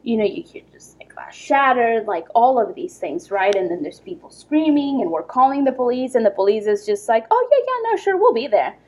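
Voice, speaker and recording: A woman in her thirties from Ecuador, a podcast guest telling a story in casual chat, lively and full of fillers. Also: a little high, fairly quick, hardly any pauses, slightly rough. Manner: lively, animated retelling with many fillers.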